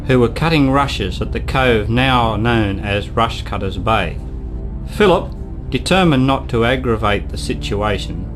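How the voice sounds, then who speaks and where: A man reading scripted historical narration as the narrator of an audio documentary drama. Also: mid-range voice. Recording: music bed under the voice. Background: instrumental music.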